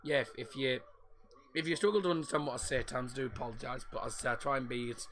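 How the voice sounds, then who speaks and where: A man, voice croaky from a sore throat, giving casual live football commentary and rambling chat on his own stream. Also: medium pitch, fast talker, a bit bright. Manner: casual, rambling, mixes up names.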